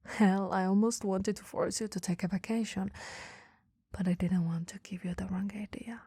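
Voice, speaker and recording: A woman acts out a caring female boss with a flirty, easily flustered streak in an intimate ASMR roleplay. The voice is very breathy and mellow, often slipping into a whisper. It sits close and dry on the microphone, with a gently teasing, hesitant delivery. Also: mid-range voice, fairly even intonation, normal speed, dry recording. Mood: puzzled, probing. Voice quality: breathy, soft.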